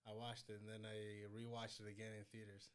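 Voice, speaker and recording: A young man co-hosting a casual podcast, excitable and slangy, peppering his talk with "like". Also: on the low side, brisk, clean tone. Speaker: podcast co-host. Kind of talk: casual chat.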